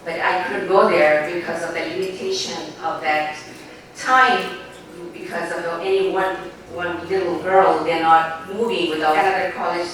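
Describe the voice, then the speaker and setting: A woman in her forties with a Bangladeshi accent speaks at a formal event, and her heartfelt, halting phrasing keeps circling back. Her voice sits fairly low. She is heard from well off the mic in a strongly echoing hall, with a faint room hum beneath her. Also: fairly even intonation, fairly bright tone, distant mic. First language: Bengali. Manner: halting, heartfelt, loose grammar. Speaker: newspaper editor giving a speech. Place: large banquet hall.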